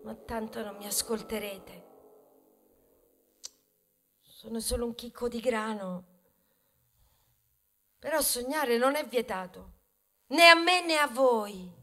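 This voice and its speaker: A woman playing a humble, dreamy grain of wheat in a theatrical ballad, declaiming earnestly and wistfully with dramatic pauses. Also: on the high side, fairly bright tone. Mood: wistful, resigned. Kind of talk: theatrical monologue in a radio stage ballad.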